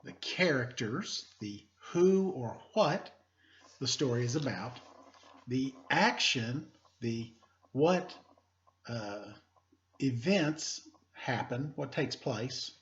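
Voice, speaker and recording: A man speaking as an elementary teacher, reading aloud to pupils with deliberate emphasis and informal asides. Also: mid-range voice, animated, fairly bright tone, muffled recording. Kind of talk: read-aloud lesson with casual asides.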